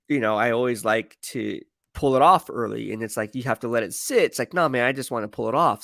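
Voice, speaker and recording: A man talks off the cuff as a football streamer. His mid-pitched voice has a creaky, gravelly edge and sits dry, without room sound. He rambles in slangy, emphatic phrases and often repeats himself for weight. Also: a bit bright, no echo. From San Francisco Bay Area, United States. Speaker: sports streamer and football commentator. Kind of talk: casual live stream chat, answering viewer comments.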